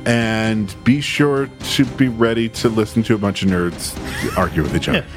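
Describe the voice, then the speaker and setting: A man's voice: on the low side, normal speed. A man giving a promo as a podcast host in a bantering style, with music playing behind him. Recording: music bed under the voice.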